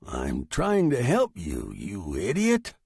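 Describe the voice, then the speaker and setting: A man voicing a bossy, mocking talking typewriter in a story, with a curt, scornful, deliberate delivery. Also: low-pitched, wildly swooping pitch, creaky, darkish tone, slightly rough, no room sound, clean studio sound, pitched below the performer's own voice, heavily acted. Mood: smug, impatient.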